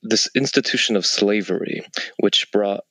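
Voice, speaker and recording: Male guest, young adult, fairly low pitch, measured conversational answers with rhetorical questions, dry recording. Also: sharp tone, dry recording. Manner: deliberate, builds points with repeated rhetorical questions. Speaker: interview guest, civil servant. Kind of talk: thoughtful conversational interview answer.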